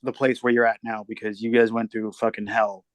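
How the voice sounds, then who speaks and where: A man's voice: fairly low pitch, flat delivery, brisk, no echo. Dry-sounding man in his thirties. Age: 30s. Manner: candid, swears casually. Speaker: podcast co-host. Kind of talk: casual chat.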